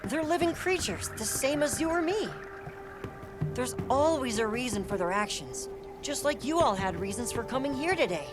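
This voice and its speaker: A woman playing an earnest, thoughtful young naturalist in a video game, explaining things in a measured way. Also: sits high, lively intonation. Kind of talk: video game character acting. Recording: game music behind dialogue.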